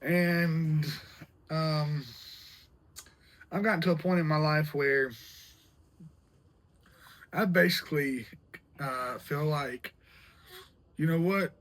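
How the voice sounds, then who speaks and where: Late 20s guy rambling on a vlog, lotta "you know", kinda high voice. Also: steady tone, takes it slowly, pauses often, a bit bright. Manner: rambling, repeats himself, many fillers. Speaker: vlog host. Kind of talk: casual chat.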